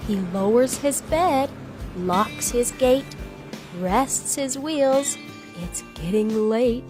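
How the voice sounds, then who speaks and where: A woman with a slightly high voice reads a children's bedtime story very slowly. Her delivery is expressive and gentle, with stretched words and acted emphasis. Music plays behind her. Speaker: storybook reader.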